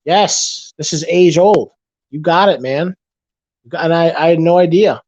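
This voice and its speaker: A man chats on a late-night livestream, working through viewers' messages in a rambling way, often restarting and repeating his sentences. His voice sits a bit high for a man and sounds worn, as if he is losing it after hours of talking. The sound is dry and close, with no room around it. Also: measured pace, darkish tone, no echo. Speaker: livestream host reading chat.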